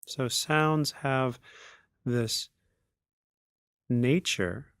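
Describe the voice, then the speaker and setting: A man leading a guided meditation as a teacher, speaking in unhurried, measured phrases with long pauses. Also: medium pitch, a bit bright, dry recording, clean studio sound.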